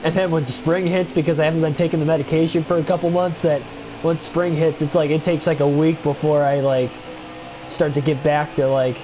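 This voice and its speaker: A man's voice: a little high, background noise. A young man with a stuffy nose, casually chatting with viewers and commenting on a racing game during a livestream, rambling with many fillers. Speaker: gaming livestreamer.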